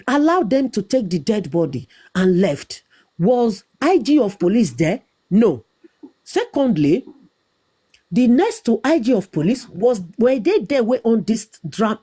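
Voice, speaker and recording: Nigerian woman, young adult, insistent debater who won't yield the floor; mellow, animated delivery. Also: fairly high pitch, slightly rough. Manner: insistent, talks over interruptions, repeats herself. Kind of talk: heated debate.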